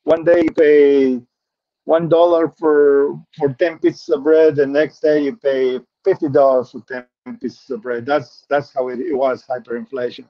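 A middle-aged man from Peru with a Peruvian Spanish accent talks through his life story in English, his second language. His voice sits slightly high, and he speaks earnestly with frequent restarts. The audio is muffled and lo-fi. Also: flat delivery, a little slow. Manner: earnest, repeats words and restarts often. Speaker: guest. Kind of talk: personal life story interview.

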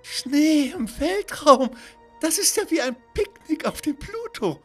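A man voicing an excitable, giggly little girl astronaut full of wonder in a children's audio story, with a squeaky voice. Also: takes it slowly, soft background music, full character voice. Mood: amazed. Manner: giggly, exclaiming.

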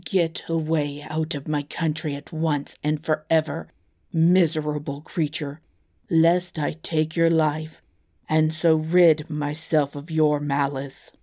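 A woman's voice: deep voice, level tone, slow pace, mellow, heavily acted. A woman reading the lines of a brave, indignant young king with stern, commanding delivery in a storybook reading.

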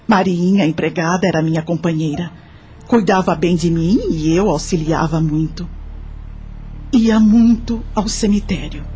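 A woman's voice: on the low side, warm tone, lightly acted. An actress playing a lonely, grieving elderly woman with a Brazilian accent, recounting her life in a radio drama. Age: older. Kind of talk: radio drama narration in character. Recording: music under the voice.

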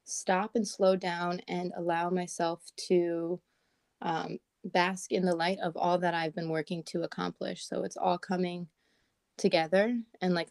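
A woman with a raspy morning voice chatting casually as a podcast co-host, talking with lots of "like" and "um". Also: lowish voice, darkish tone, no echo. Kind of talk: casual chat. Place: at home in Portland.

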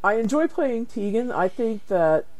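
A woman's voice: on the high side, animated, measured pace, darkish tone, slight background noise. A woman co-hosting a casual podcast over a Skype call, chatty and often laughing. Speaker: podcast co-host and improv player.

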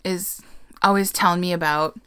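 A woman's voice: lowish voice, steady tone, normal speed, bright, forceful tone, smooth, dry recording, clean studio sound. A young adult woman chatting casually as a co-host, gushing and laughing, sometimes dropping to a whisper. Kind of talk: casual chat.

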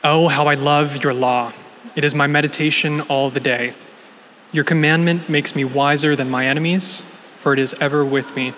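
Scripture reader, man, bright and slightly high voice, measured delivery, long hall echo. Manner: measured, steady reading. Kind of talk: formal scripture reading. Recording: echoey hall with faint noise.

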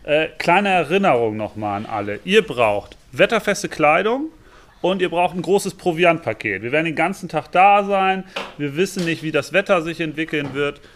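A man playing a friendly, organised schoolteacher in an amateur radio play, instructing a group with hesitant pauses. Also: on the high side, animated, fairly bright tone, a little hiss or hum. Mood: cheerful, instructive. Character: friendly, organised male schoolteacher addressing his class.

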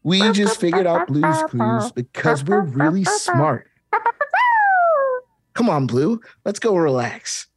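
A bouncy young kids' show host as a man reads him with a husky edge, swinging his pitch all over as he coaxes the viewer along. The sound is dry and close. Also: wildly swooping pitch, slow pace, dry recording, heavily acted. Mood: cheery. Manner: singsong, talking to the viewer. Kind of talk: comedic table read.

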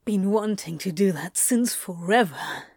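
A woman's voice: mid-range voice, measured pace, a little mellow, husky, dry recording, clean studio sound. A woman playing a cocky, teasing, amorous man in a fan fiction audio drama, speaking informally.

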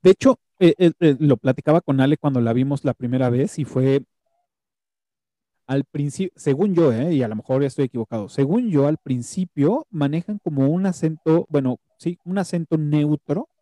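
A man with a very dark, mellow voice leads a relaxed film chat. He talks haltingly and keeps restarting his words. The sound is close and dry, with no room around it. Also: fairly high pitch, dry recording. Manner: hesitant, restarts words often. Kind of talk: casual film review chat.

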